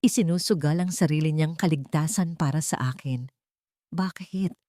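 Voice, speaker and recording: A woman with a Filipino accent narrates a scripted audiobook story. Her voice sits a little low, and the sound is dry with hardly any room in it. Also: fairly quick, warm tone, no room sound.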